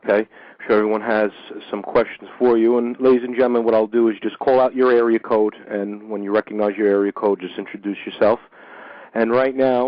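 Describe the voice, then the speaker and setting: A man hosting a call-in radio show, speaking in a measured way and often repeating a word as he starts a phrase. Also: medium pitch, no room sound. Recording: dull dry lo-fi radio recording.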